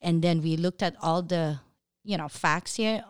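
A woman's voice: lowish voice, unhurried, clear, resonant tone. A woman with a Filipino accent hosting a casual podcast chat with her husband, talking in a chatty way with many fillers and frequent laughs. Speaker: podcast host.

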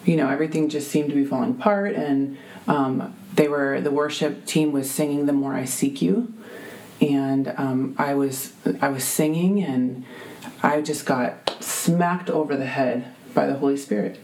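A woman with a deep voice, telling a heartfelt faith testimony as a guest, full of ums and restarts. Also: steady tone, a little slow, a little mellow. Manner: earnest, many fillers and restarts.

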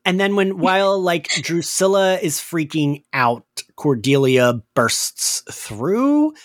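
A man's voice: a little high, slow, no room sound, clean studio sound. A man hosting a podcast, chatting casually through a recap with lots of "like" as filler. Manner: animated, chatty, filler-heavy with frequent "like". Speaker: podcast host.

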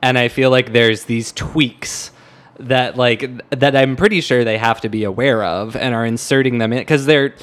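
A man's voice: mid-range voice, normal speed, fairly bright tone. A man hosting a podcast in casual music discussion, sometimes humming bits of melody to make his point. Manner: hums and scats melodies to illustrate points. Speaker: podcast host.

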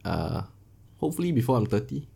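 A man's voice: fairly low pitch, sing-song, a little fast, vocal fry, mellow, slightly rough. A man in his twenties with a Singaporean accent, hosting a casual chat in a colloquial, joking way. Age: 20s. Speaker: podcast host.

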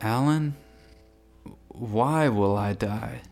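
An actor playing a meek, bewildered musician in a radio drama, hesitant and deadpan in the face of death. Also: male voice, fairly low pitch, very slow, vocal fry, full character voice. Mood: anxious, questioning. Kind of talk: scripted radio drama dialogue. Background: faint music.

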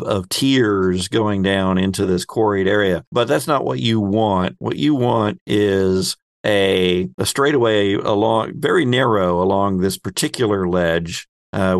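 Slow-paced, gravelly man as game master. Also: lowish voice, dry recording, clean studio sound. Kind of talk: improvised narration of a tabletop game.

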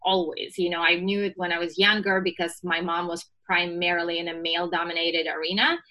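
A woman from Russia with a Russian accent, telling her story as a podcast guest with lively energy. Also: on the low side, brisk, highs cut off. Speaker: guest, business founder. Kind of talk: podcast interview.

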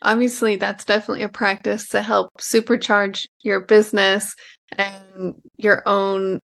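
A woman's voice: mid-range voice, flat delivery, measured pace, vocal fry, a bit bright. A woman hosting a podcast, chatting casually with a guest, affirming what she hears and sometimes trailing off mid-thought. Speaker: podcast host. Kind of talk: casual conversation.

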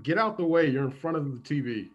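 A performer improvising a comedy scene with a husky voice. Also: mid-range voice, lively intonation, fast talker, dull audio.

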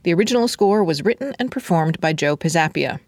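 A woman narrating a scripted epilogue in a measured, even manner. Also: on the low side, dry recording, studio quality.

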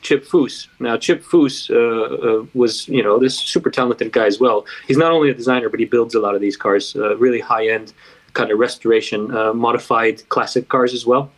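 A man from Bulgaria who moved to the United States speaks as a designer being interviewed. His voice is mid-pitched, and he explains things in a casual, reflective storytelling way. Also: steady tone, normal speed, low-quality recording. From Bulgaria, later United States. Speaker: car designer, interview guest.

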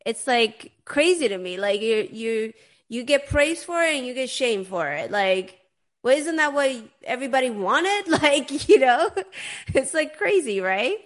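A woman's voice: sits high, sing-song, a little slow. Chatty young woman hosting an interview. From Sweden. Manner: chatty, many "like" and "you know" fillers. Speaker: podcast host. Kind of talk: casual interview chat.

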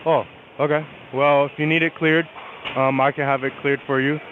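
A man's voice: fairly high pitch, flat delivery, moderate pace. A man working at a bowling alley, answering a customer call in a polite, patient, hesitant way. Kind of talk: customer service phone call. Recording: noisy narrow tinny phone line.

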